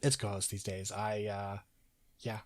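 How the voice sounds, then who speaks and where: A man's voice: lowish voice, level tone, a little slow, no echo, studio quality. Sick man losing his voice, podcast hosting. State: sick, sore throat, losing voice. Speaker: podcast host. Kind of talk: casual podcast chat.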